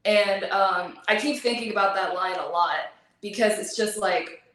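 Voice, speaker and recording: A talkative woman guest chatting in a very echoey room. She fills her speech with ums and likes, laughs easily, and her voice is wearing thin and hoarse. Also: medium pitch, a little slow, a bit bright. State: losing her voice. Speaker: actress guest. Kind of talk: casual interview chat.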